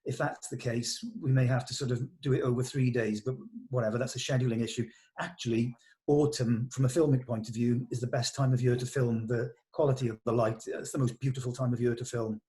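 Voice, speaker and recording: A man with a mid-pitched voice talks through plans in a casual meeting. He sounds like a film director thinking aloud, pausing and restarting as he works things out. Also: steady tone, dark tone. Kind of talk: casual production meeting talk.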